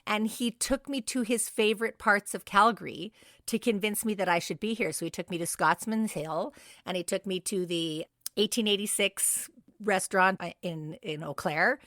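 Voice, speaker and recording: A middle-aged woman with a Canadian accent chatting casually as a podcast co-host, telling stories in an animated way. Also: medium pitch, moderate pace, no room sound, clean studio sound.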